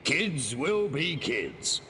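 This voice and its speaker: Cocky, drawling little alien invader. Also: male voice, a little high, sing-song, very slow, bright, forceful tone, a little hiss or hum, full character voice. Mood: sarcastic. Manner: wisecracking, drawling sarcasm. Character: cocky, sarcastic alien invader, small and trigger-happy. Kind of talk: video game cutscene acting.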